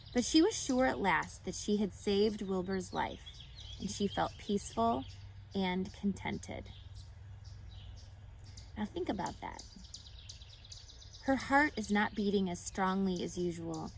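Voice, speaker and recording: Very animated woman reading a storybook aloud. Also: mid-range voice, a little hiss or hum. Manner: explanatory, questioning, addressed to children. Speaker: storybook reader. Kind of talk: expressive read-aloud with teaching asides.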